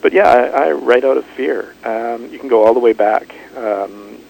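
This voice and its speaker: Middle-aged man from Canada, gravelly low voice, halting restarts, faint background hiss. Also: fairly even intonation, a little mellow, low-quality recording. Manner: thoughtful, halting, many restarts. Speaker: author. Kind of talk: radio interview.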